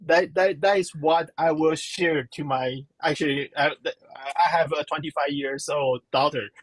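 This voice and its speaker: A slow-spoken woman gives interview answers in a husky voice. She halts often and fills the gaps while she searches for her words. The sound is dry and close. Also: low-pitched, steady tone, dry recording, poor audio. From Taiwan. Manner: halting, searching for words, many fillers. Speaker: professor and guest.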